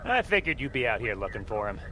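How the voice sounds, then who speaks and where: A man's voice: medium pitch, big pitch swings, fast, bright, forceful tone, poor audio. An actor playing a deferential, folksy patrol policeman in an audio drama, chatty but hesitant. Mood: curious, nervous. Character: deferential, chatty beat cop driving the patrol. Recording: car and street noise behind.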